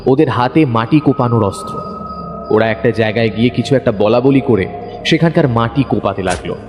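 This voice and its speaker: A man's voice: medium pitch, fairly quick, noisy background. Casting: male narrator for a mystery audio story, mid-range voice, dramatic and suspenseful, echoing with music behind. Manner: suspenseful, expressive. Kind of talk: dramatic scripted first-person detective story narration. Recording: long reverb, music under narration.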